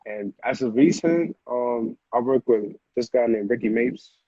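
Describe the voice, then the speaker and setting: A man's voice: medium pitch, fairly even intonation, measured pace, warm tone, slightly rough, no echo. A man, talking casually as an interview guest in slangy, repetitive phrasing, on a dull lo-fi recording. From Honduras, moved to the Bronx at eight. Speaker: music producer, interview guest.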